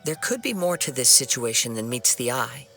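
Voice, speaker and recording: A man playing a polite, composed young investigator in a video game, speaking formally and deliberately as he reasons. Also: mid-range voice, sing-song, bright, forceful tone, soft background music. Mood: calm, thoughtful.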